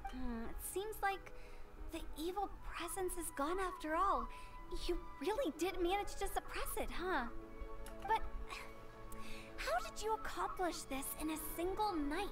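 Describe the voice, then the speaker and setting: An actress playing a quirky, skeptical young funeral director in a video game, with a playful, probing manner. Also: female voice, very high voice, animated, unhurried, recorded from a distance, over music. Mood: skeptical, curious. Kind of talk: scripted video game voice acting.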